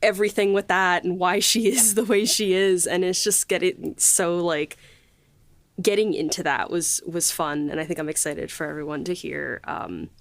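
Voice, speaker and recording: A woman with a slightly low voice talks casually as a podcast host. She gushes in a halting, loosely phrased way, and the sound is close and dry. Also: fairly even intonation, vocal fry, no echo. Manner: gushing, halting, peppered with 'like'. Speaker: podcast host and series writer. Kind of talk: casual behind-the-scenes chat.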